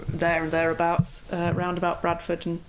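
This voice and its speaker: A woman in her fifties with a soft Yorkshire accent, chatting as an interview guest, with plenty of ums and uhs. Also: lowish voice, completely flat delivery, normal speed, noisy background. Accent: mild Yorkshire. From Yorkshire, England. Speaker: guest, yoga teacher. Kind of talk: casual interview chat.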